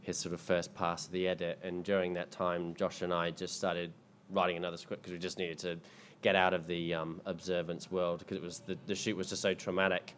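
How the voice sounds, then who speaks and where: A man's voice: sits low, monotone, fairly quick, hardly any pauses, a bit bright, a little hiss or hum. A man in his thirties, a filmmaker answering audience questions in a casual, rambling way, full of ums and restarts. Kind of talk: casual panel Q&A. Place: indoor screening event.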